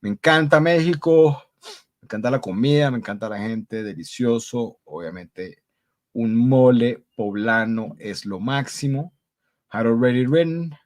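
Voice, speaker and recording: A man's voice: mid-range voice, normal speed, a little mellow. A man teaching an English grammar class live online, upbeat and encouraging, switching between Spanish, English and Portuguese. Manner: repeats praise like muy bien. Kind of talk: live online class reading student answers.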